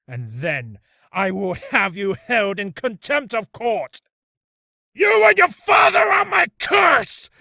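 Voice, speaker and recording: A man's voice: extremely high pitch, gravelly, sharp tone, no room sound, pitched above the performer's own voice, heavily acted. A man playing a menacing, imperious video game prosecutor with a commanding, contemptuous manner. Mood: unhinged rage. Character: menacing, imperious prosecutor demanding guilty verdicts, vengeful. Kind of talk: video game character acting.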